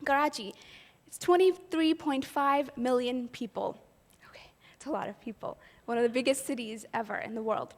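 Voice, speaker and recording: An earnest woman from Pakistan tells her own story to an audience at an event and poses rhetorical questions along the way. Her voice sits somewhat high. A long reverb from the hall trails after her words. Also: normal speed. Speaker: event speaker. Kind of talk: personal storytelling talk.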